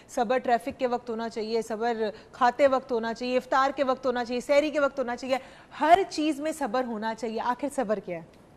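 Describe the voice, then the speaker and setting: A woman's voice: fairly high pitch, a little mellow. A young woman with a Pakistani accent hosting a live call-in TV show, chatting freely in Urdu laced with English. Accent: Pakistani Urdu, mixing in English. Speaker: host. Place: TV studio.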